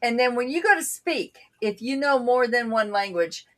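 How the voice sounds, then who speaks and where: Brief: outspoken woman co-host, native Bulgarian speaker, slightly high voice, loose casual chat. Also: expressive, moderate pace, fairly bright tone. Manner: blunt, outspoken storyteller.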